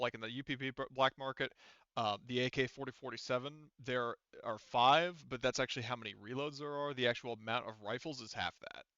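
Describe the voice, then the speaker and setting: A man's voice: medium pitch, pushed and bright, clean tone, no echo, highs cut off. A man casually running a tabletop game as game master, explaining things in a rambling way.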